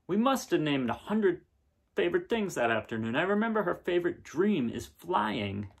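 A man in his thirties reading a picture book aloud in a casual, unhurried way with pauses between phrases. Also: sits high, very animated, vocal fry, slight background noise. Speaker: reader of children's picture books. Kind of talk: gentle read-aloud storytelling and casual chat. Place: small living room indoors, seen on camera.

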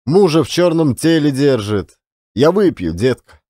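A man's voice: a little high, very animated, unhurried, mellow, dry recording, clean studio sound. A man voicing a weak, self-pitying younger drinker in an audiobook play, plaintive and aggrieved. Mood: bitter.

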